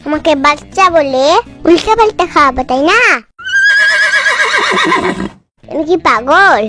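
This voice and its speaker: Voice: high voice, lively intonation, a little fast, very noisy. A performer voicing a cheeky, bossy small child in a comic cartoon, scolding and teasing, with mispronounced words. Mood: indignant.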